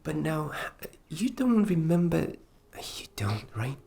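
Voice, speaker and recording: A woman playing a gentle, reassuring fairy caretaker in a fantasy ASMR roleplay, speaking in a hushed, unhurried, partly whispered way. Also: low voice, sing-song, creaky, exaggerated voice. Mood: musing, hushed.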